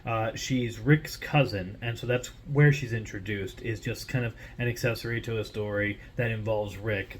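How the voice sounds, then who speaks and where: A man's voice: medium pitch, slight background noise. A man speaking casually and informally, explaining things in a conversational monologue as a writer and host. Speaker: writer and host introducing a story character.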